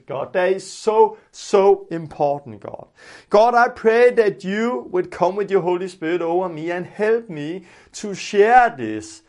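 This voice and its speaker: A man from Denmark with a Danish accent, a native Danish speaker, preaching in simple explanatory English and repeating phrases for emphasis. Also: high-pitched. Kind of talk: informal teaching sermon with prayer.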